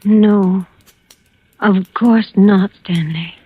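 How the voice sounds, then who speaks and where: Fragile obedient wife, really slow n dreamy, tinny 1940s radio drama recording. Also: female voice, mid-range voice, steady tone, slightly rough, no room sound. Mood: docile, dazed. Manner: hesitant, docile, dreamy. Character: frail, submissive wife, an ailing actress in a daze. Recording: thin, band-limited old radio recording.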